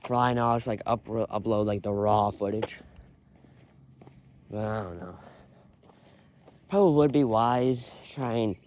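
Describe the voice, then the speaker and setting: Young male vlogger with a lowish voice, talking to fill time on a walk; phone-in-pocket sound with faint outdoor hiss. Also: a little slow, long pauses, a little mellow. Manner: rambling, filler-heavy, sighing often. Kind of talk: rambling walking vlog. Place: outdoors on a quiet road.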